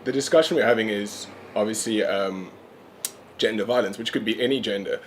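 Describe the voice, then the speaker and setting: A man in his late twenties from South Africa, speaking in a thoughtful, halting way in a casual discussion. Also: on the low side, faint music behind, poor audio. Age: 20s. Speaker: graphic designer, panel guest.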